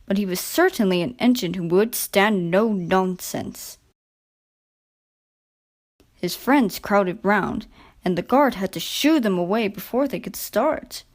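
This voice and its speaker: A woman narrating a children's story from a script in an expressive, deliberate storytelling manner. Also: mid-range voice.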